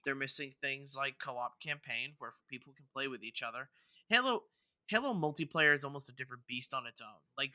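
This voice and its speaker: Male podcast host, casual and rambling with stammered restarts, very forward bright tone, clean articulation. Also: medium pitch, clear, resonant tone, no echo. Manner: rambling, stammering with repeated false starts. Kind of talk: casual gaming news discussion.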